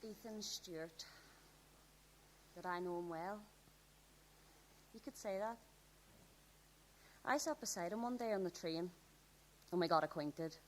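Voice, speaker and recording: A woman's voice: on the low side, a little slow, pauses often, slight background noise, close to an everyday voice, low-quality recording. A woman acting an awkward, eager schoolgirl in a radio play, hesitant and self-conscious. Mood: reflective. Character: awkward, eager schoolgirl train passenger, reflective narrator. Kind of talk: radio drama monologue and dialogue.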